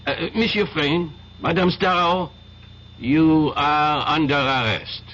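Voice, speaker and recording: Courteous, unyielding French inspector. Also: male voice, on the high side, fairly bright tone. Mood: firm, probing. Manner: formal, hesitant phrasing with deliberate politeness. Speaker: actor in a radio drama. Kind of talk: 1970s radio drama acting. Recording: dull, noisy old radio broadcast.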